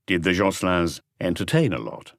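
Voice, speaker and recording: A man voicing a male chief inspector in an audiobook, terse and deliberate as he puts probing questions. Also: deep voice, very animated, vocal fry, fairly bright tone, no echo, clean studio sound, full character voice. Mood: measured, probing. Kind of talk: audiobook character dialogue.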